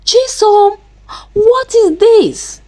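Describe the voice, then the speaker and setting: A woman's voice: mid-range voice, wildly swooping pitch, takes it slowly, darkish tone, husky, exaggerated voice. A woman voicing a kind, trusting married auntie in a folktale, earnest and questioning. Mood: shocked.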